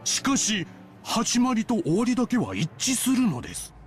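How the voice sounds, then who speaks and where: Self-satisfied mystery enthusiast, visual novel style, rapid theatrical explaining, lively swooping intonation, clear backing music. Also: male voice, extremely high pitch, heavily acted. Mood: relishing explanation. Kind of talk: Japanese visual novel voice acting. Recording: game audio with clear music behind.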